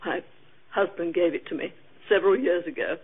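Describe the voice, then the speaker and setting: A woman's voice: lowish voice, fairly quick, slightly rough. A woman playing a haughty, defiant society murder suspect in an old radio drama, imperious and brittle. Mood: testy. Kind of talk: 1950s radio drama acting. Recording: muffled old radio recording.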